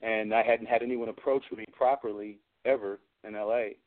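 A man's voice: lowish voice, fairly even intonation, moderate pace. A man chatting casually as an interview guest, telling rambling, slangy anecdotes about his own working life. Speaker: guest, film special effects and prop maker. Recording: phone-like narrow-band radio call-in audio.

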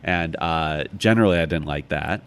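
A man's voice: very deep voice, normal speed, fairly bright tone. An American man talking as an interview guest in a reflective, casual and musing way. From United States, Chicago. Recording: clearly noisy background.

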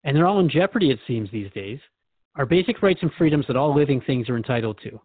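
A man's voice: medium pitch, expressive, fast, husky, no echo, narrow, tinny audio. Earnest male radio host, dry close sound. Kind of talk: conversational interview with a spirit guide.

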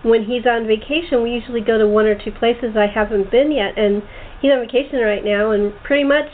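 Higher-voiced woman on a tinny line. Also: steady tone, fairly quick. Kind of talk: casual chat. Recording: noisy, phone-like remote call audio.

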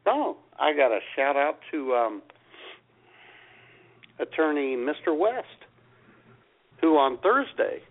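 Gravelly male comedy co-host, lively delivery. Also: fairly high pitch, slow, pauses often, narrow, tinny audio. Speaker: co-host of a comedy radio show. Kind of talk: casual comic banter.

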